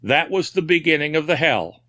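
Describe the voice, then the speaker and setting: A man's voice: on the high side, big pitch swings, measured pace, sharp tone, voice pushed up, heavily acted. A man playing a haunted, frantic asylum patient. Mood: feverish. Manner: feverish, confiding, breaking into frantic cries.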